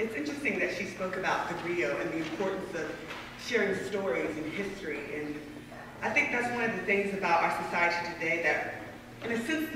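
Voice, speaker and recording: A man speaking in public as he introduces a reading at an event. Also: sits high. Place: event room at a live reading. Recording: echoey hall from far off, clearly noisy.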